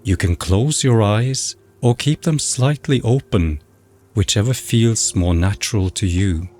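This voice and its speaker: A man narrating a guided meditation in a measured, instructional, scripted way. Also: on the low side, darkish tone, a little hiss or hum. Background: background music.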